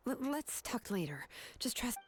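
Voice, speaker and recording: A woman's voice: fairly high pitch, sing-song, normal speed, slight background noise. A woman playing a confident, shrewd young craftswoman with a casual, direct manner in an anime video game. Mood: casual, knowing.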